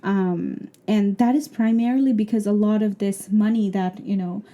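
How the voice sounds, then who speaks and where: A woman's voice: medium pitch, steady tone, normal speed, warm tone. A woman from Bangladesh with a Bangladeshi accent, a PhD student explaining her research thoughtfully with many fillers in an interview. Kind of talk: interview answer.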